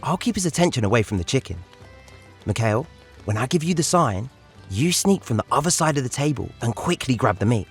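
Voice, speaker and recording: Audio drama teen played by a man: eldest urchin and planner, husky mid-range voice, firm, instructing, music underneath. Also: medium pitch, big pitch swings, brisk. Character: thirteen-year-old boy, responsible, scheming leader of urchins.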